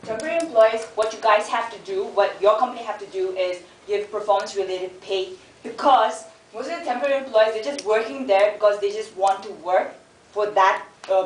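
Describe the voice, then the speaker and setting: A woman's voice: mid-range voice, a little hiss or hum. A young woman gives a casual, direct class presentation and talks straight to the audience. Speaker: student presenter. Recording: echoey classroom, mic far off.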